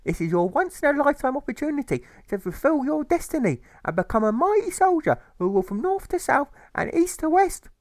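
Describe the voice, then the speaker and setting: Man acting out nagging mother, very high shrill pitch, histrionic wails, overwrought, animated, audiobook character. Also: a little fast, hardly any pauses, no room sound, studio quality, exaggerated voice. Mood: pleading, insistent. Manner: histrionic, repeats phrases in rising wails. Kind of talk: theatrical rhyming audiobook.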